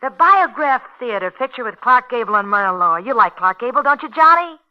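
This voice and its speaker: A woman's voice: a little high, animated, a little fast, lightly acted. A woman playing a middle-aged gangster's lover, bitter and jealous. It is a 1950s radio crime drama, with the dull, muffled sound of an old broadcast recording. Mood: coaxing.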